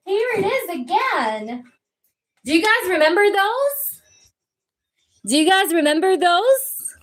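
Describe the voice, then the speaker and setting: A woman's voice: extremely high pitch, big pitch swings, slow pace. A woman doing live tarot readings, chatting casually and greeting viewers by name. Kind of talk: casual live-stream chat.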